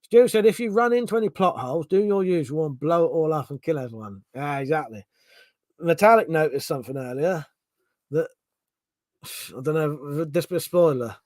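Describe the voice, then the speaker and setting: A man's voice: on the high side, moderate pace, darkish tone, no echo. A middle-aged man chatting casually on a live stream, reading out chat messages, swearing freely and restarting his sentences.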